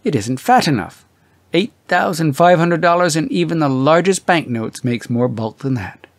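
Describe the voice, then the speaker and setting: A man's voice: a little high, animated, normal speed, dry recording, voice pushed up. Man as older husband, audiobook character, anxious, weary, fretful, exclaiming, dry recording. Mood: uneasy. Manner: agitated, exclaiming, muttering.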